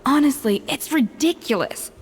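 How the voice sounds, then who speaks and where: A woman's voice: sits high, expressive, moderate pace, big-room sound, a little hiss or hum. An actress playing a weary, composed and polite young woman in a video game. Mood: weary, relieved.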